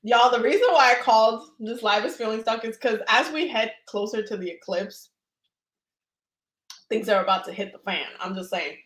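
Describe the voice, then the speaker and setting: A woman's voice: a little high, moderate pace, fairly bright tone, reverberant. A woman hosting a casual tarot live stream who chats and laughs while she eats. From Dominican. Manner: chatty and laughing, talks while eating.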